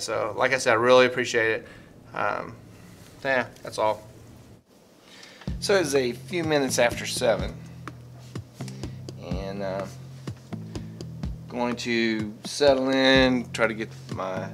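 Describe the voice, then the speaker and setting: A man in his fifties chatting casually to his vlog audience in a halting way, often restarting sentences and repeating words. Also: medium pitch. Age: 50s. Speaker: vlogger. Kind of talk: casual rambling vlog chat.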